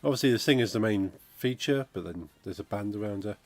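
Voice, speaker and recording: Croaky guy streaming, low voice, dry mic, bit of music behind. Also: a little fast, dry recording. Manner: rambling, chatty, reads out chat messages. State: croaky from a cold. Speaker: game dev streamer. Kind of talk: casual live-stream chat. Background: funky music playing.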